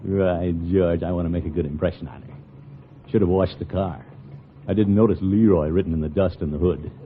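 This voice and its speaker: An American actor playing a pompous, flustered middle-aged water commissioner in a 1950s radio comedy, blustering and muttering in exasperation. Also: male voice, deep voice, fairly even intonation, fairly quick, lightly acted. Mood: fretful muttering. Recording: tinny, noisy old radio broadcast.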